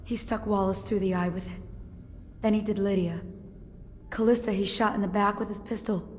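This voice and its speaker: A woman acts a frightened, loyal serving girl in a game. Her mid-pitched voice is earnest and shaken, ringing with reverb over faint noise. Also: fairly even intonation, normal speed, a little mellow, close to an everyday voice. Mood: shaken, sombre. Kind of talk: dramatic video game acting.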